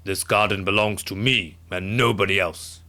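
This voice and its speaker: A man voicing a gruff, selfish but soft-hearted giant from a storybook, with music behind him. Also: fairly even intonation, moderate pace. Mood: grumbling, possessive. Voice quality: great booming voice. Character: gruff selfish male giant, booming, later tender-hearted. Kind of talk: storybook character acting.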